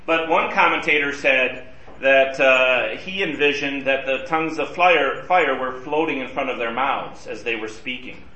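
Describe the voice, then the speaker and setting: A man's voice: medium pitch, normal speed, few pauses, sharp tone, lo-fi sound. A man teaching a Bible study class in a conversational way, asking his group questions. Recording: roomy and distant from the mic.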